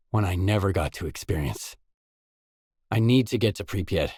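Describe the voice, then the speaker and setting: An actor playing an earnest, determined stalker in a post-apocalyptic video game, speaking in a measured, solemn way. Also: male voice, low-pitched, a little mellow, no room sound, clean studio sound. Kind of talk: scripted video game dialogue.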